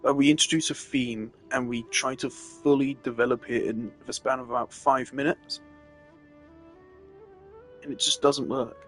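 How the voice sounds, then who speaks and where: A man's voice: mid-range voice, steady tone, moderate pace, fairly bright tone. Male game streamer, mid-range voice, casual exasperated rant, emphatic delivery, faint music underneath.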